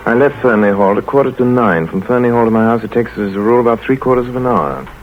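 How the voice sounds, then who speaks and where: An actor playing a level-headed English country doctor who narrates in a measured, calm way in an old radio drama. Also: male voice, mid-range voice, soft background music, lightly acted. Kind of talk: 1930s radio drama acting. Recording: scratchy tinny old radio broadcast.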